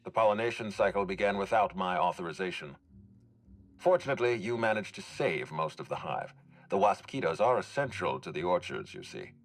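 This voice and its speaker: An actor playing a formal, guarded executive in a video game, speaking in a measured, courteous and dryly stern way. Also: male voice, low-pitched, sing-song, exaggerated voice. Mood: measured concern. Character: formal, guarded male executive overseeing hotel and orchards. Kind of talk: dramatic video game dialogue.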